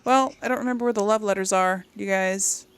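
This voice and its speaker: A woman with a noticeably creaky voice at a middling pitch talks through a video game for her audience. She is chatty and casual, thinking aloud as she goes and reading aloud for those watching. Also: fairly even intonation, brisk. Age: 30s or older. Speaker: game streamer, role-play series host.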